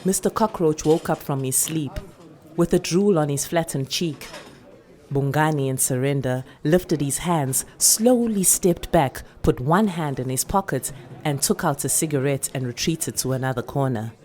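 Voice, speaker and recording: A South African woman reading a literary short story with expressive, deliberate, dramatic delivery. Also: low voice.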